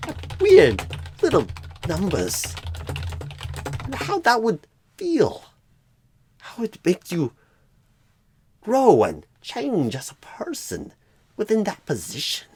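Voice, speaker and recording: A man voicing a clueless, rambling, eccentric job recruiter in an ASMR roleplay, halting oddly mid-phrase in a breathy, husky voice that often drops to a whisper. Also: sits high, a little mellow, dry recording, full character voice. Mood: rambling, musing.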